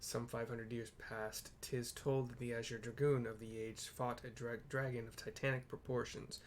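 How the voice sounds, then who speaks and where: A man's voice: medium pitch, level tone, moderate pace, a little hiss or hum, natural voice. A man voicing a grave, proud dragon-slaying knight in a fantasy game, with solemn, formal, old-fashioned phrasing.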